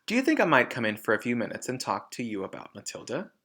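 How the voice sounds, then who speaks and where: A man voicing a gentle, earnest woman schoolteacher in a storybook, speaking politely and with measured care. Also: medium pitch, huge pitch swings, exaggerated voice.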